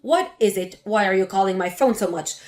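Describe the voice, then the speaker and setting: A woman voicing a spiteful older mother-in-law who lectures and sneers, with a lowered voice. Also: fairly quick, a little mellow, natural voice. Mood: scornful. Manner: scornful, lecturing.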